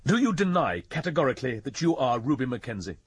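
An English detective inspector in a radio play. His voice is very husky, with lively rises and falls. He questions in a formal, patient way, sounding doubtful of what he is told. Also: mid-range voice, fast. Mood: stern. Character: sceptical, formal male English police inspector, probing and patient. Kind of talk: BBC radio drama acting.

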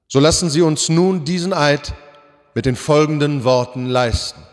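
An actor playing a solemn bank president in a radio drama, giving a stately, formal ceremonial speech. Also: male voice, on the high side, moderate pace, faint music behind, natural voice. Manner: oratorical, stately, addresses audience formally.

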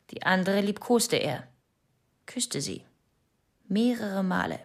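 An actress in a radio drama playing a suspicious, obsessive young wife giving testimony in terse, insistent fragments. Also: female voice, medium pitch, lightly acted. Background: faint music.

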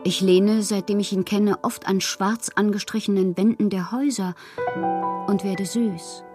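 A woman playing a whimsical bohemian poet who confides her letters in an intimate, breathy, theatrical way for a radio drama. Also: medium pitch, steady tone, measured pace, over music, natural voice. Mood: playful, musing. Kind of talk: literary radio drama, letters read as monologue.

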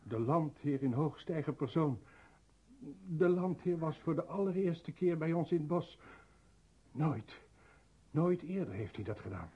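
An actor playing a fearful, pleading ninety-year-old peasant woodcutter in a radio drama, deferential and halting. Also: male voice, a little high, expressive, husky, exaggerated voice. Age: ninety in the role. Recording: muffled, dull radio drama recording.

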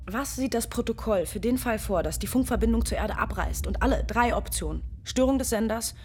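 An actress playing a tired, matter-of-fact female astronaut in a radio play, giving brisk, clipped commands. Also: a little high, expressive, slight background noise, natural voice.

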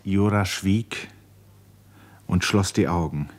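Low-voiced male radio play narrator. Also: takes it slowly.

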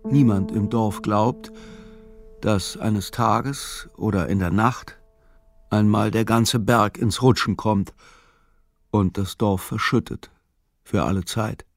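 Low-voiced man narrating, faint music behind. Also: takes it slowly, pauses often. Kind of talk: literary scripted narration in a radio play.

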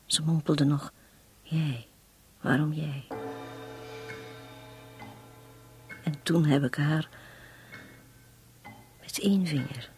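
An actress in a radio drama playing a long-suffering, resolute woman making a confession, her voice breathy and husky, her delivery halting and intense. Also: low-pitched, steady tone, darkish tone, soft background music. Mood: haunted, hushed.

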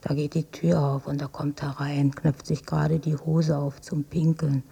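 Radio drama: ailing older mother, mellow but badly frayed breathy voice, weak, long-suffering. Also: low voice, level tone, normal speed, full character voice. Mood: pained, recounting. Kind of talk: intimate radio drama monologue and dialogue. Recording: dull cassette copy of radio play.

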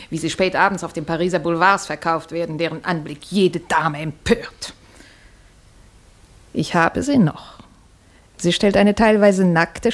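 Casting: actress for scornful, haughty noble sportswoman in radio drama, lowish register, indignant. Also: close to an everyday voice. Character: domineering aristocratic horsewoman, scornful and indignant. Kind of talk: 1960s German radio drama acting.

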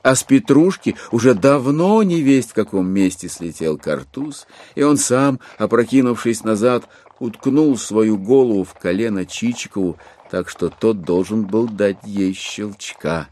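Низкий, очень выразительный мужской голос рассказчика. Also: male voice, lowish voice, unhurried, few pauses. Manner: theatrical, rhetorical, expressive. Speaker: narrator. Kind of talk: dramatic literary narration in an audio play.

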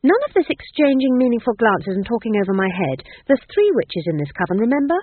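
A woman's voice: a little high, sing-song, a little fast, breathy edge, no echo. A woman voicing an earnest, anxious younger witch who objects in an insistent, slightly prim way, in an audiobook style. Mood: indignant. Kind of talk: audiobook character voice. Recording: thin, phone-like band-limited audio.

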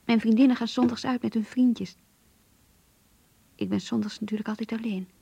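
Lovesick young diarist in a radio play, soft mellow voice, really breathy, anxious and earnest. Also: female voice, fairly high pitch, steady tone, moderate pace, no room sound. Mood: yearning, determined. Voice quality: breathy, whispery. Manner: intimate, hushed, confiding. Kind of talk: radio drama diary narration.

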